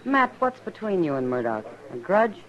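A woman's voice: fairly low pitch, very animated, normal speed, lo-fi sound. An actress playing an earnest, worried, protective frontier woman in a 1950s radio western drama. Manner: earnest, concerned.

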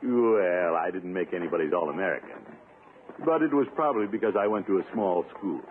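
Blustery, excitable middle-aged uncle for a radio sitcom, pompous, swooping delivery, tinny old broadcast sound. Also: male voice, low-pitched, fairly quick. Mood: boastful. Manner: blustery, exclaiming, chuckling. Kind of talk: 1950s radio comedy acting. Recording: tinny, noisy 1950s radio recording.

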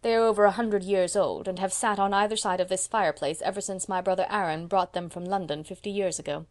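A woman's voice: medium pitch, steady tone, fast, close to an everyday voice. A woman voicing a proud, decisive seventy-year-old spinster who owns a house and speaks with emphasis. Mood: emphatic. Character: brisk, proud elderly spinster landlady, 70.

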